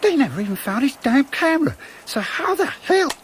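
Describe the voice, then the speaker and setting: A panicked, terrified victim. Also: male voice, very high voice, sing-song, brisk, slight background noise. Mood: baffled fear. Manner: panicked, disbelieving. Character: terrified middle-aged man facing death. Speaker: radio drama actor. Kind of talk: 1980s radio drama acting. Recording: rain and thunder loud behind.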